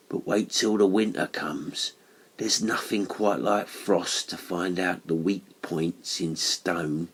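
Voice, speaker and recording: A man's voice: sits low, husky. Man acting a blunt, chatty stonemason. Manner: blunt, colloquial, chatty tradesman. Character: huge sweaty friendly working-class monumental stonemason.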